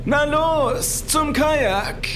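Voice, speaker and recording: A man voicing a gruff, commanding expedition gunman in a German horror audio story. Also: extremely high pitch, drawn-out words, fairly bright tone, slight background noise, pitched above the performer's own voice, full character voice. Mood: urgent, commanding.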